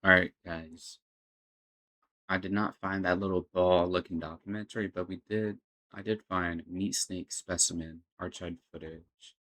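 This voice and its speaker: A man with a low voice hosts a reaction video. He talks in a casual, unscripted way, commenting on what he is watching. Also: steady tone, measured pace, bright, forceful tone.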